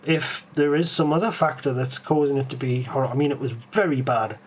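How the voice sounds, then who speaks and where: A man's voice: medium pitch, steady tone, fairly quick, a little hiss or hum. A man with a Geordie accent hosting a live tech stream, musing aloud with lots of ums and restarts as he answers viewers. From Tyneside, England.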